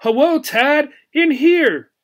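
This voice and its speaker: A man voicing a small female pet gerbil from a storybook, anxious and excitable, exclaiming and scolding herself. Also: very high voice, slow pace, pitched above the performer's own voice. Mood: excited, urgent.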